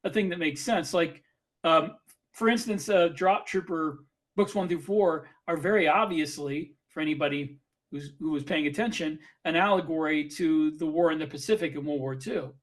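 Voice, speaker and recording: A man's voice: a little high, normal speed. A man in a casual podcast discussion, explaining things and giving advice in a conversational way. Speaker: podcast host and author.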